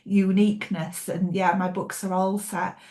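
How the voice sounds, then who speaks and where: A woman's voice: mid-range voice, level tone, unhurried, mellow. A middle-aged woman with a Yorkshire accent, a thriller author chatting casually in an interview, often restarting her sentences. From Yorkshire, England. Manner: chatty, hesitant with many restarts and laughs.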